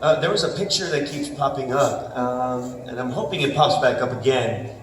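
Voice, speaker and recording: A man's voice: mid-range voice, normal speed. A man moderating a convention panel, chatty and laughing often as he reads out audience questions. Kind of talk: casual interview questions. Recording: roomy hall audio from a distance with noise.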